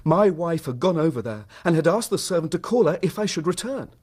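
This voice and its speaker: An actor playing a troubled, proud Victorian husband in a radio drama, with a British accent and an earnest, formal, wounded manner. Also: male voice, fairly high pitch, animated, fairly quick, darkish tone, husky. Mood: agitated, suspicious. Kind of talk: radio drama acting, narrating his own story.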